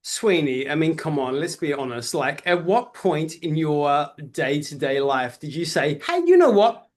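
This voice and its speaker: Higher-voiced Australian man hosting an interview. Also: sing-song, measured pace. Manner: enthusiastic, playful, mild exclamations. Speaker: interviewer, host. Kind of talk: casual promotional interview chat.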